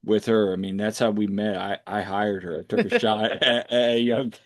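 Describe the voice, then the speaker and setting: A man's voice: on the low side, steady tone, normal speed, no echo, clean studio sound. A man from Pennsylvania with an American accent, interviewing casually and conversationally as a podcast host. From United States, Pennsylvania.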